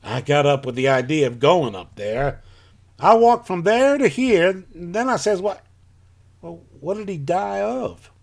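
A man playing a plain-spoken rural farmer in a live audio drama, telling his story in a folksy, halting way. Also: high-pitched, sing-song, gravelly, a little mellow, heavily acted. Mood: unsettled.

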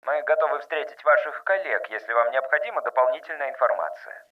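A man voicing a cold, formal government councillor with an officious manner through a loudspeaker filter in an audiobook. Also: medium pitch, animated, fairly quick. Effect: loudspeaker, radio-like filter. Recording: dull, band-limited loudspeaker sound.

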